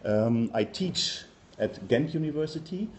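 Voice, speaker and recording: A man's voice: a little high, expressive, measured pace, darkish tone, slight background noise. A middle-aged man with a German accent giving an academic workshop presentation, hesitant and full of ums and uhs. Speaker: university lecturer.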